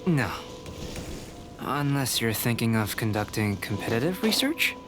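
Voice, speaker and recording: A cerebral young male researcher in a video game. He talks in a measured, detached and politely scholarly manner at a medium pitch, with music clearly behind him. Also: very animated. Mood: dry, unruffled.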